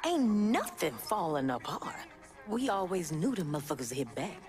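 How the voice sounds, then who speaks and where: A woman's voice: fairly low pitch, sing-song, normal speed, darkish tone, faint music behind. An actress playing a tough, defiant young revolutionary woman with a Southern US accent, blunt and streetwise, in a video game. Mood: determined. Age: young adult. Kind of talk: video game cutscene acting.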